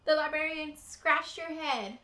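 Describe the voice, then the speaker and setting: Slow, bright-voiced young woman reading aloud. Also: high-pitched. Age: young adult. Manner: deliberate, emphatic storytelling for children. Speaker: teacher reading aloud to children. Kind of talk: expressive picture-book read-aloud. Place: indoor room with bookshelves. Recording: roomy indoor echo.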